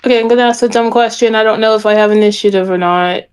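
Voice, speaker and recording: A woman's voice: mid-range voice, steady tone, fast, smooth. A woman chatting casually as a player in a tabletop game. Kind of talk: casual chat during a game.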